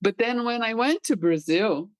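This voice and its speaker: A woman whose first language is Portuguese, a guest facilitator, telling a lively story with a very animated delivery. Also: a little high, normal speed, dry recording. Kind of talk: conversational interview.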